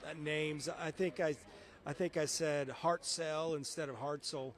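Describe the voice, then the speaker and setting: A man's voice: a little high, steady tone, unhurried. A middle-aged man, a television meteorologist, chatting in a casual interview and telling stories in a conversational way. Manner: conversational, anecdotal.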